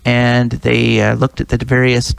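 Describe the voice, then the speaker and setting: A male radio host with a heavily gravelly, fry-laden voice and an easygoing way of talking. He reads sponsor notes and nudges his co-host along. The sound is dry and close, with no room around it. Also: medium pitch, completely flat delivery, a little slow, no room sound. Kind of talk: casual radio chat.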